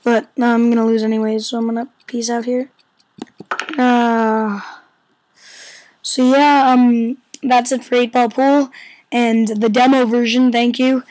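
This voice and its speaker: A hoarse-voiced woman who is losing her voice from illness rambles in casual let's-play commentary at home, full of fillers and stumbles. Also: a little high, flat delivery, measured pace, a little mellow, no echo, poor audio. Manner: rambling, filler-heavy, stumbles over words. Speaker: gameplay commentator. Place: a room at home.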